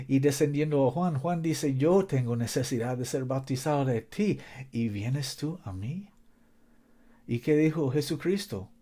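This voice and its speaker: A man's voice: mid-range voice, mellow. A man preaching a Bible lesson in Spanish, which is not his native language, reading scripture aloud and repeating his key points earnestly.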